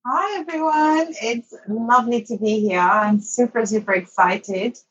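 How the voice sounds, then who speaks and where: A woman's voice: a little high, measured pace, a bit bright, slightly rough, lo-fi sound. A woman from Somalia, an English teacher, telling her life story as an interview guest, hesitant with many ums and restarts.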